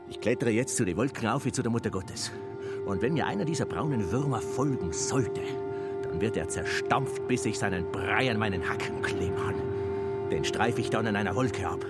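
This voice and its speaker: An actor playing a stubborn, defiant Tyrolean mountaineer in a radio play, theatrical, earthy and blustering. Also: male voice, lowish voice, natural voice.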